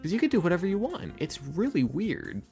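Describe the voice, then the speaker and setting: A man's voice: high voice, huge pitch swings, a little fast, vocal fry, dark tone, soft background music. A man talking casually as a podcast guest, emphatic and rambling, with slangy phrasing and acted-out quotes. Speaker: podcast guest, ASMR roleplay creator. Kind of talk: casual, opinionated interview talk.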